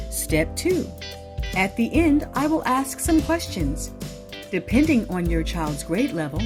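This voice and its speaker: A woman hosting a children's storytime, speaking in an expressive, teacherly way as she reads and explains. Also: medium pitch. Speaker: host of a children's read-aloud storytime show. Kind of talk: expressive storybook reading with scripted instructions.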